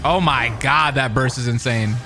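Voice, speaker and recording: A man doing excitable game reaction commentary, with loud outbursts and cut-off swearing. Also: mid-range voice, moderate pace. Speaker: gaming commentator. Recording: voice over loud game music. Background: game music.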